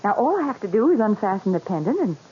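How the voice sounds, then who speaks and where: An actress playing a headstrong, breezy doctor's wife in a 1940s radio mystery, teasing and sure of herself. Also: female voice, medium pitch, quick pace, slightly rough. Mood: eager, confident. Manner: animated, teasing, self-assured. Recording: dull, noisy old 1940s radio recording.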